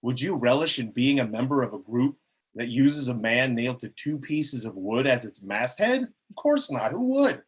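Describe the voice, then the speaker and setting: A man acts out a glib cardinal giving a public speech at a table read. His voice is middle-pitched and husky. He addresses the crowd in an oratorical, salesmanlike way. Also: sing-song, normal speed. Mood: persuasive. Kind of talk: amateur script table reading of a public speech.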